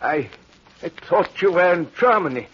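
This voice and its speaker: A sickly, gray-haired old caretaker in an old-time radio drama. His voice is high-pitched and rises and falls widely, with a shaky and agitated manner. The sound is dull, with a faint hiss. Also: animated, moderate pace. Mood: shocked. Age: older. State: ill. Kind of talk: 1940s radio acting.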